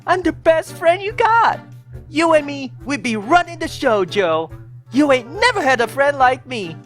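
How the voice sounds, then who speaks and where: A woman's voice: sits high, sing-song, a little slow, soft background music. A woman voicing a desperate, chummy hunter who wheedles and pleads in slangy talk, in a dramatized fan fiction reading. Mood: desperate wheedling. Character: desperate, wheedling, fast-talking hunter begging a monster for mercy.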